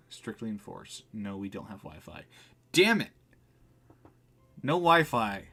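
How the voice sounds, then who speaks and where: A man with a fairly low voice streams a game live. He talks in a casual, slangy way, reading on-screen text aloud and reacting to it as he goes. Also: sharp tone. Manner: informal, chatty, reacting aloud with slangy exclamations.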